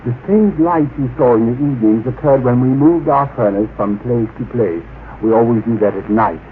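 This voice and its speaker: Tinny old-radio actor as a haughty alien officer. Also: male voice, medium pitch, moderate pace, natural voice. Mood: cool, condescending. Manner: condescending, formal, precise diction. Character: haughty male alien officer, coolly contemptuous of humans. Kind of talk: old-time radio drama acting. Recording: tinny, noisy vintage radio recording.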